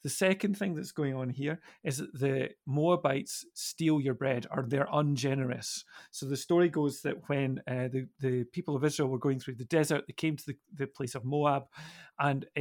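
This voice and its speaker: A man on a podcast panel, explaining in a thoughtful, halting way. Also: mid-range voice, creaky, a little mellow. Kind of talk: explanatory discussion.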